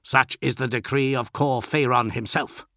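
A man's voice: medium pitch, fairly even intonation, moderate pace, bright, forceful tone, no room sound, studio quality, voice pushed up. Man acting out a growling, enraged warrior captain. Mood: stern. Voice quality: growling, snarling. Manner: commanding, stern and formal. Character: enraged hulking armoured warrior captain, stern zealot mentor.

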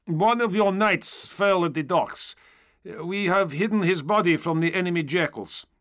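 A man's voice: sits high, moderate pace, fairly bright tone, voice pushed up. A man playing a cheerful, chatty foreign soldier in an audiobook, polite and eager, with non-native phrasing. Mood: polite, upbeat. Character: cheerful, chatty, optimistic young male foreign stormtrooper.

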